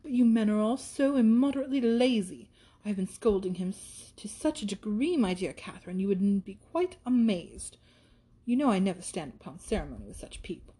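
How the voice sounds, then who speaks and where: A woman's voice: mid-range voice, expressive, fairly quick, dark tone, breathy edge. A woman voicing a gushing, flighty young woman in a period storybook, coquettish, exclamatory and affected as she scolds. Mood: playful scolding.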